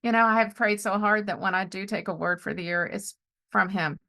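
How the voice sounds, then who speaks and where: A middle-aged woman chatting casually as a podcast host, telling personal stories of faith in an animated way and often acting out what people said. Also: mid-range voice, brisk. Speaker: podcast host and life coach. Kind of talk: casual conversational storytelling with faith testimony.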